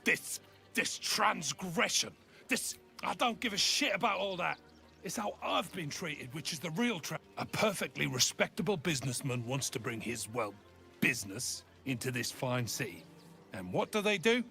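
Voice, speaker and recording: A man's voice: fairly high pitch, animated, moderate pace, vocal fry, sharp tone, heavily acted. A man voicing a pompous, crude merchant in a video game, blustering and indignant. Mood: blustering outrage. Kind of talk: video game character dialogue. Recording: music behind the voice.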